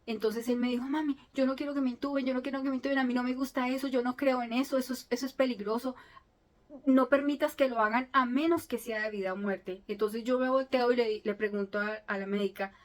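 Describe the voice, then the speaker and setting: A woman's voice: fairly high pitch, steady tone, fast. A middle-aged woman, a native Spanish speaker, telling a personal story in an earnest, emotional way, with frequent restarts. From Colombia. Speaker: vlogger telling her story.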